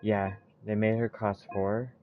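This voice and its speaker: A man giving casual gameplay commentary, haltingly thinking aloud as he goes. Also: lowish voice, level tone, narrow, tinny audio. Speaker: gaming video creator. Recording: clear background noise, mouse clicks. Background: noise and clicks.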